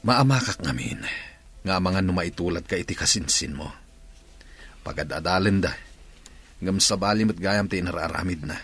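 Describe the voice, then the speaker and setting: A man's voice: deep voice, normal speed, pauses often, fairly bright tone, breathy edge, heavily acted. Man playing a worried earnest father. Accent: Ilocano. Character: worried middle-aged father. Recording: noisy background.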